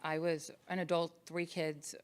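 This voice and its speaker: A woman's voice: sits low, level tone, a little slow, clear, resonant tone. A middle-aged woman telling her personal story as an alumni panelist in an emphatic, storytelling way. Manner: storytelling, emphatic, quotes herself.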